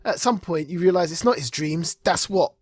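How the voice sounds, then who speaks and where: A man's voice: on the high side, lively intonation, moderate pace, husky. A man hosting a podcast in casual chat, enthusiastic and rambling as he searches aloud for his words. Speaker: podcast host. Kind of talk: casual chat about comics.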